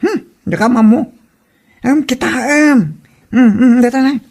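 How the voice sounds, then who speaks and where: A woman's voice: sits high, measured pace, a little mellow. A woman with an Ilocano Filipino accent playing a nosy, blunt gossiping friend in a comedy audio drama. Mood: gossipy, insistent.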